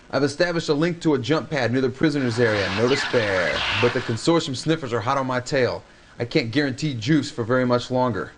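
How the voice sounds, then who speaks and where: An actor playing a hip resistance hacker in a video game, clipped and urgent. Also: male voice, mid-range voice, a little hiss or hum, lightly acted. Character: cool, slangy male resistance hacker. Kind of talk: video game cutscene acting.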